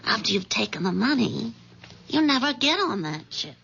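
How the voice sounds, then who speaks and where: A woman's voice: fairly high pitch, sing-song, moderate pace, vocal fry, sharp tone, husky, full character voice. An actress playing a scheming, seductive woman who coaxes a timid lover in an insinuating way, in 1970s radio drama style. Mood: coaxing, sly. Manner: coaxing, insinuating. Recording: dull lo-fi 1970s radio recording.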